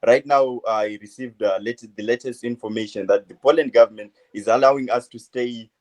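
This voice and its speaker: A man in his twenties from Zimbabwe, a medical student answering interview questions in a halting way, full of uh fillers. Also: medium pitch, fairly even intonation. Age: 20s. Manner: hesitant, many uh fillers, halting phrases. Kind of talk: phone interview.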